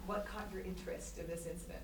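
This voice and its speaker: A woman's voice: fairly low pitch, fairly even intonation, fast, natural voice. A woman playing an awkward, eager interviewer in a staged play reading, stammering and repeating words as she goes. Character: awkward, eager gallery interviewer hosting an artist talk. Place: live staged reading in echoey room. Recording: noisy echoey room, distant mic.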